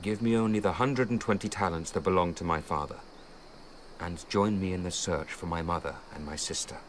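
A man's voice: low-pitched, fairly quick, close to an everyday voice. An actor playing a proud, generous young nobleman of ancient times in a radio drama, with a grave, declamatory delivery. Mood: magnanimous. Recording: radio drama with faint background noise.

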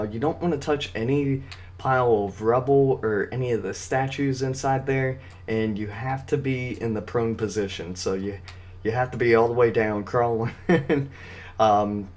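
A man's voice: medium pitch, a little mellow. A man with a slightly scratchy voice hosting a casual, step-by-step video game walkthrough. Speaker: gaming video guide host.

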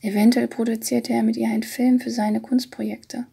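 A woman narrates a literary audiobook in a hushed, half-whispered tone. Her voice is soft and mellow, very breathy, and stays fairly even in pitch. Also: normal speed.

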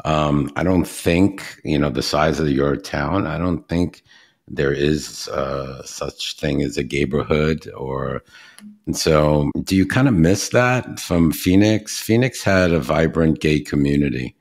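A man's voice: very deep voice, slow pace. A man with a Brooklyn New York accent hosting a podcast interview, chatty and given to acting out little dialogues. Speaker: podcast host. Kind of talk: casual interview chat.

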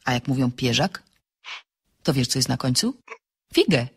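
A woman playing an inquisitive, insistent amateur sleuth in an audio drama, slightly husky, questioning and explaining briskly. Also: a bass voice, lively intonation, heavily acted.

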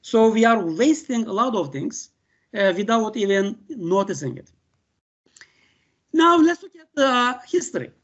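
An older man with a Turkish accent lectures students over a video call. His delivery is halting, with "uh" and "okay" scattered all through it. The computer-mic audio sounds dull and lo-fi. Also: sits high, sing-song, a bit bright, slightly rough. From Turkey. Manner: halting, many "uh" fillers and "okay" checks. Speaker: university professor. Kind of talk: online lecture.

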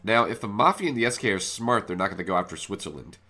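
A man's voice: lowish voice, expressive, quick pace, bright, forceful tone. A man with a congested, cold-stuffed voice casually commenting on his own game as host, thinking aloud as he plays. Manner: chatty, thinks aloud while playing. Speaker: gaming channel host. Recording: faint music bed underneath.

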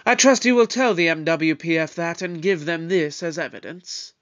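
Resolute alien time-traveler played by a man for audio drama: high-pitched, grandly moralizing, slightly dull recording. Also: moderate pace, a bit bright, natural voice. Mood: explanatory.

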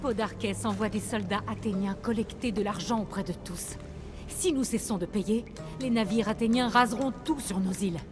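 A woman's voice: medium pitch, moderate pace, lightly acted. A woman voicing a proud, shrewd rebel leader in a video game, with an earnest, firm and persuasive delivery. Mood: grave, resolute. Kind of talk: video game voice acting in French. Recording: game dialogue over loud music.

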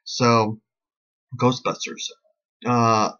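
A man's voice: mid-range voice, little pitch movement, very slow, bright, forceful tone. A man in his forties giving a casual, chatty review, often repeating his phrases for emphasis. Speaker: vlogger reviewing a film. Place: small bedroom, indoors. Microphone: webcam at arm's length. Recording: dull, lo-fi webcam sound.

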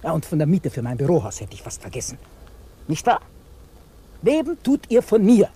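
A woman's voice: low voice, wildly swooping pitch, a little fast, dark tone, slightly rough, exaggerated voice. An actress playing a tyrannical, tight-fisted businesswoman aunt in a radio play, scolding with sarcasm and command. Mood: stern, accusing. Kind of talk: 1950s German radio play acting. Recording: faintly noisy old 1950s radio recording.

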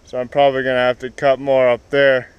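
A young man explaining his tree cutting as he goes, in a halting casual way with many fillers and restarts, recorded outdoors in a wooded yard on an action camera. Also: mid-range voice, monotone, smooth, dull audio. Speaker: vlogger. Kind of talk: casual explanation while working. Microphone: action camera on the ground.

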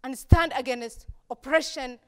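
A young woman from Somalia delivers a prepared talk from a dark auditorium stage. Her voice sits high, and she leans on repeated phrases with measured pauses between them. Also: smooth. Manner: rhetorical repetition, deliberate pauses. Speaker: speaker at a campus talk event. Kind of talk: prepared public speech.

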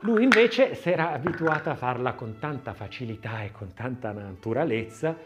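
A man reading a literary text as narrator, expressively and theatrically, with measured phrasing and varied emphasis. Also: mid-range voice, animated, music in the background.

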